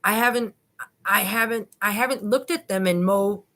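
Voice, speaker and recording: A woman reading the part of a flustered male witness under questioning, with halting, repetitive, broken phrasing. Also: medium pitch, fairly bright tone, natural voice. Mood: flustered, evasive.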